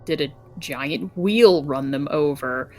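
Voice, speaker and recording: A woman's voice: low voice, slow pace, darkish tone, faint music behind. A woman playing a tabletop game, chatting casually in a wordy, inquisitive way and often rephrasing herself. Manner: inquisitive, wordy, self-checking. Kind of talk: casual chat. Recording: clear background noise, room ambience.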